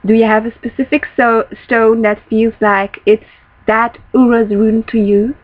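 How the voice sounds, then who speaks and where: A young woman, a native Dutch speaker, teaching a casual spiritual lesson from a small room, often pausing to find words. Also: on the high side, flat delivery. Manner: thoughtful, searching for words, restarts often. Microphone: built-in laptop webcam mic, close. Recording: dull lo-fi webcam audio.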